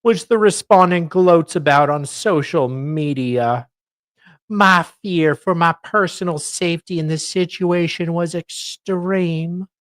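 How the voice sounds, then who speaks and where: A man voicing a grand, haughty, aggrieved lady in a pompous, drawn-out, mock-dramatic reading of a sworn legal declaration. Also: fairly high pitch, fairly bright tone, no room sound, studio quality, voice pushed up. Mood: aggrieved, melodramatic. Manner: pompous, theatrical, drawn-out delivery.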